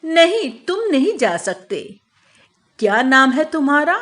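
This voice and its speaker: A woman voicing a clever, self-assured male royal adviser in a children's moral cartoon, speaking in a measured, explanatory manner. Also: high voice, big pitch swings, fairly bright tone, exaggerated voice. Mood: firm, accusing.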